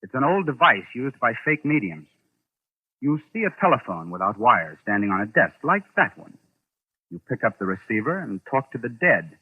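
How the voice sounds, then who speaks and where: An actor playing a shrewd, knowing investigator in a 1940s radio suspense drama, deliberate and authoritative. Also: male voice, mid-range voice, very animated, slightly rough. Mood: explaining, ominous. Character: shrewd, commanding man investigating a sinister mystery. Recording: muffled old radio drama recording, phone-like.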